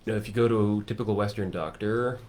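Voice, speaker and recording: A man hosting a casual chat, enthusiastically pitching a course and piling up lists and vivid comparisons. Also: fairly even intonation, fast, darkish tone, a little hiss or hum. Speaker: music teacher and course host.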